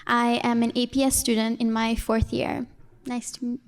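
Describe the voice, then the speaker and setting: A woman's voice: a little high, level tone, moderate pace, in a large hall. A young woman from Trinidad and Tobago, a student moderating a panel and asking upbeat questions. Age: young adult. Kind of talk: panel discussion.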